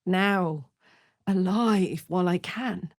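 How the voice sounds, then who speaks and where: An actress voicing a blunt, battle-hardened but warm-hearted woman warrior in a video game. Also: on the low side, slow pace, dry recording, clean studio sound. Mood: matter-of-fact. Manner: blunt, swearing, swinging between playful and intense. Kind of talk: video game character voice acting.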